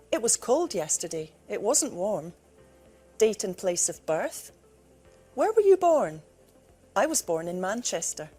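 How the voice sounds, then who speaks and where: A woman teacher reading a scripted grammar lesson slowly and clearly, played from a tutorial video. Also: medium pitch, mellow. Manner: slow, clear, deliberate.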